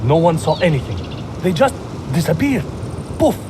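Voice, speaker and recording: A man's voice: on the high side, big pitch swings, moderate pace, background noise. An actor playing a groggy, self-pitying drunk male slave owner who talks in a slurred, rambling way.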